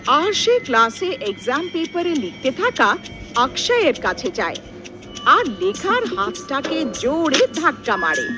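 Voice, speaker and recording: A woman narrating a scripted cartoon story in an animated storytelling style. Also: high voice, unhurried, over music.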